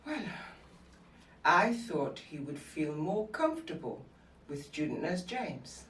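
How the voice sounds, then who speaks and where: A dignified, quietly defiant senior nurse, played by an actress in a stage drama. Her voice is fairly low and very expressive, measured and formal, picked up from a distance in an echoey room. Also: slight background noise, heavily acted. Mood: pointed, composed. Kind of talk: scripted stage-drama acting.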